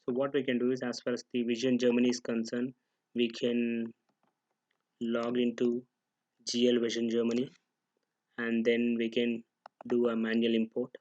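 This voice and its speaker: A man giving an unscripted, step-by-step software tutorial, halting and pausing mid-sentence as he goes. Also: mid-range voice, completely flat delivery, no echo, lo-fi sound.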